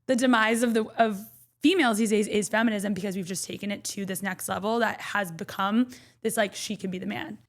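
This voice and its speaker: A young woman hosting a podcast interview, chatty and lively with quick asides. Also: mid-range voice. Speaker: podcast host.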